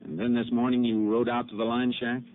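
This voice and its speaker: An actor playing a steady Texas Ranger investigator, calm, clipped and matter-of-fact, in a 1950s radio drama. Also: male voice, medium pitch, fairly quick, close to an everyday voice. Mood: firm. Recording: tinny old radio broadcast.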